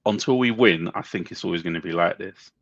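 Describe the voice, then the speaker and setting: A man co-hosting a football podcast, measured and reasoning through his points. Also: sits low, a bit bright, dry recording, dull audio. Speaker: podcast co-host. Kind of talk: casual chat.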